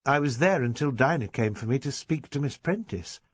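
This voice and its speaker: A man reading aloud and voicing an elderly rector who is gentle, fussy, slow and measured. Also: mid-range voice, animated, slightly rough, no room sound, pitched above the performer's own voice.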